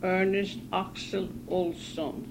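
Slow, halting elderly woman. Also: lowish voice, steady tone. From northern Norway. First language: Norwegian. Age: 90s. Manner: halting, hesitant, self-correcting. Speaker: interviewee. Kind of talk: oral history interview. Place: apartment. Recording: hissy 1980s cassette tape.

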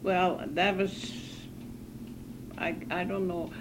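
Slow, halting elderly woman. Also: on the low side, little pitch movement. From northern Norway. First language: Norwegian. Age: 90s. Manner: halting, hesitant, self-correcting. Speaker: interviewee. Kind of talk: oral history interview. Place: apartment. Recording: hissy 1980s cassette tape.

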